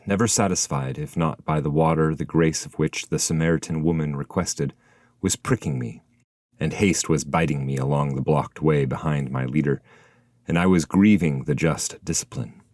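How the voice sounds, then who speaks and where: A man's voice: a bass voice, darkish tone, dry recording, clean studio sound. A man giving a scripted literary reading of narrative poetry as its narrator.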